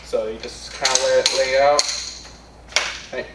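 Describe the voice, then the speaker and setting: A young man giving a casual product demonstration in a plainspoken, low-key way, recorded indoors on a distant camera mic. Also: steady tone, normal speed. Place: bare indoor room with carpet. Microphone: far camera. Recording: roomy echo, distant mic, background noise.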